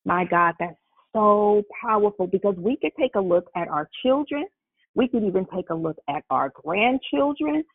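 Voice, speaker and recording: A woman hosting a call-in prayer line, effusive and quick to exclaim praise. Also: medium pitch, expressive, slightly rough, no echo. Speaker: host and Bible teacher. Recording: tinny phone line.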